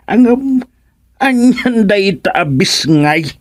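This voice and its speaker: A man playing a jovial, teasing father in an Ilocano radio comedy, with an Ilocano accent and drawn-out exclamations. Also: high voice, animated, no room sound, studio quality.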